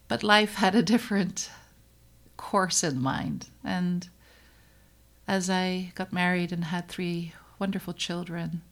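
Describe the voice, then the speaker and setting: A middle-aged woman from the Netherlands, a pediatrician hosting her own podcast, narrating in an earnest, measured and reflective way with emphatic pauses. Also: on the low side, vocal fry. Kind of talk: scripted personal podcast narration.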